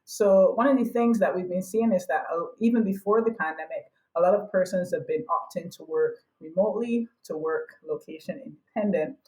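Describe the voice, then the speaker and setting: A woman's voice: fairly low pitch, fairly quick, few pauses, a little mellow. Echoey-sounding woman. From Barbados. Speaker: interview guest, academic and consultant. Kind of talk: conversational explaining in an interview.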